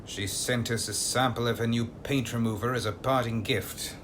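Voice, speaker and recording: An actor playing a secretive, composed gentleman investigator in an audio drama, with a measured, formal and politely authoritative manner. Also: medium pitch, steady tone, background noise, natural voice. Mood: pleased.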